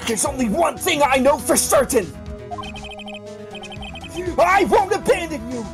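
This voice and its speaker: An idealistic defense lawyer from a video game, voiced by a woman. The delivery is earnest and dramatic, unhurried, and swings widely in pitch over background music. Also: animated. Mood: determined. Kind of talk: live group voice-over of a visual novel game.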